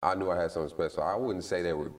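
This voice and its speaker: Guest: American man, very deep low voice, relaxed slangy talk, a bit off-mic. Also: very fast, far from the mic. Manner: laid-back, slangy, lots of "you know what I mean". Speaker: guest, recording artist. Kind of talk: casual interview chat.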